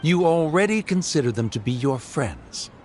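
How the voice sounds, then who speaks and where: A man's voice: fairly high pitch, sing-song, normal speed, a little mellow, soft background music. An actor voicing a proud middle-aged scholar father in a game, cool and condescending. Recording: game cutscene with faint score.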